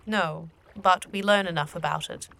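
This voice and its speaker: A woman playing a calm otherworldly guardian and guide of the dead in a fantasy audio drama, with formal, measured phrasing. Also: fairly low pitch, vocal fry. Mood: calm, assured. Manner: measured, formal, avoids contractions.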